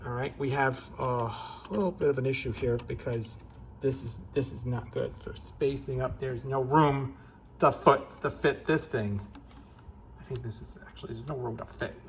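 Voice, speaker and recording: A man giving a casual, unscripted how-to narration as he works on a repair, halting and hesitant as he thinks aloud. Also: medium pitch, fairly even intonation, slight background noise. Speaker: hobbyist repairer presenting own video.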